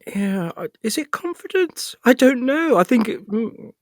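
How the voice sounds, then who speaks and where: A man's voice: extremely high pitch, very animated, unhurried, dry recording, clean studio sound. A middle-aged British man chatting casually as an interview guest, self-deprecating and thinking aloud as he rambles. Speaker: guest, actor and writer.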